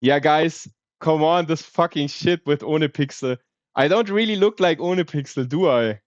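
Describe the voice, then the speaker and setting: A man's voice: on the high side, moderate pace, no echo, dull audio. A man with a German accent chatting casually on a livestream, thinking aloud with plenty of fillers. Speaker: streamer.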